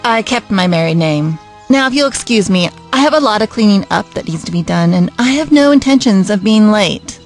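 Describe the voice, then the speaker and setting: A woman's voice: medium pitch, animated, fairly quick, faint music behind, lightly acted. A woman playing a bitter, brusque, uncaring mother with a curt, dismissive manner in an audio drama. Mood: indignant.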